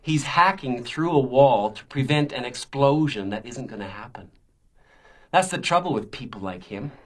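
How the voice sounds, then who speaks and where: A man's voice: mid-range voice, expressive, normal speed, lightly acted. An actor as taunting, menacing male stalker. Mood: bitter, insinuating. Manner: taunting, insinuating, mock-polite. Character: menacing, self-pitying male stalker, a spurned oddball. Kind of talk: radio crime drama acting.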